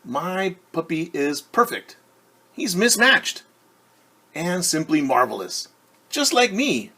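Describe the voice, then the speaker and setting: A man's voice: fairly high pitch, big pitch swings, slow, bright, forceful tone, husky, voice pushed up, heavily acted. A man voicing a proud, quirky little girl from a children's storybook, speaking expressively and emphatically. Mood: delighted.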